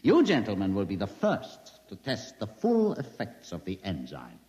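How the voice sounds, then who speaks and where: A man's voice: fairly low pitch, wildly swooping pitch, moderate pace, a little mellow. A cavernous, muffled old radio recording carries a menacing mad scientist. His mock-courteous speech swings dramatically up and down as he toys with his captives. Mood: gloating. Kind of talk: 1950s radio acting. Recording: muffled vintage radio, long reverberant hall.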